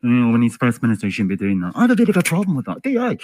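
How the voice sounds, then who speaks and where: A man's voice: mid-range voice, wildly swooping pitch, rapid-fire, a little mellow, no echo. A Scottish man giving a profane, sarcastic rant in a video commentary, tagging lines with "right?" and "like". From Scotland. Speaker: commentary YouTuber.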